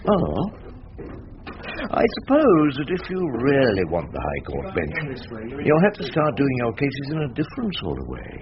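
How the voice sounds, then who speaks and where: An actor playing a wry, worldly older defence barrister with an English accent, acting and narrating in a radio drama. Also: male voice, mid-range voice, wildly swooping pitch, moderate pace, a crowd in the background. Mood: sly, amused. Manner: wry, sly, avuncular. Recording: dull radio recording, faint noise.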